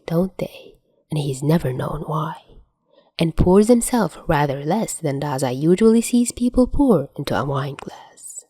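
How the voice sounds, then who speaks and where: A woman reading a story aloud as an audiobook narrator, in an expressive scripted style. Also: sits low, slightly rough, dry recording. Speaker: fan fiction audiobook narrator.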